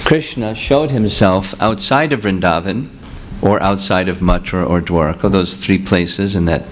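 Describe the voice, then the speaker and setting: A man's voice: low-pitched, normal speed, slight background noise. A man giving a seminar talk as a spiritual teacher, explaining patiently with examples and answering questions. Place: seminar room with audience.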